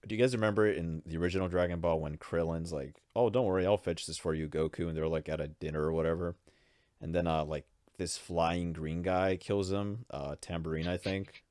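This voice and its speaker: A man's voice: low voice, fairly even intonation, fairly quick, a little mellow, dry recording, clean studio sound. A man co-hosting a casual podcast with playful, teasing banter. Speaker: podcast co-host. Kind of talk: casual group chat about pop culture.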